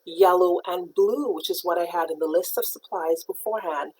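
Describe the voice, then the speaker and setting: A woman's voice: lowish voice, normal speed, darkish tone, a little hiss or hum, low-quality recording. A middle-aged woman from Trinidad teaching a live painting lesson, explaining supplies step by step and greeting viewers by name. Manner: patient, step-by-step instruction. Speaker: art teacher.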